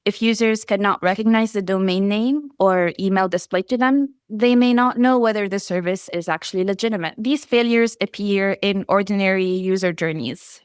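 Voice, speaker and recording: A woman whose first language is Arabic, giving a prepared conference presentation in a measured way, reading lists item by item. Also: medium pitch, clean tone, no room sound, clean studio sound. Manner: measured, enumerating lists steadily. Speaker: researcher presenting.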